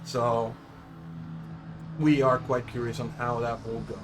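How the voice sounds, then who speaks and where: A man's voice: mid-range voice, flat delivery, takes it slowly, music in the background, rough recording. Chatty Dutch-accented man commentating a race. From Netherlands. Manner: chatty, self-correcting, unsure of name pronunciations. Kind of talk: live sim racing commentary.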